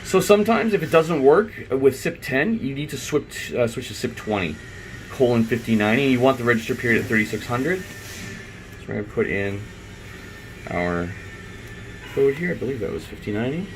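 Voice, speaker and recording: A man's voice: mid-range voice, animated, moderate pace, poor audio. Man talking through steps, faint background hum. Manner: informal, thinking aloud. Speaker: IT installer giving a software tutorial. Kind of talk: unscripted step-by-step screen walkthrough.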